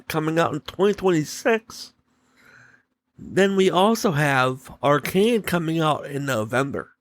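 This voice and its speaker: A man hosting a solo podcast, giving opinion commentary in deliberate, halting short phrases. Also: on the high side. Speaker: podcast host.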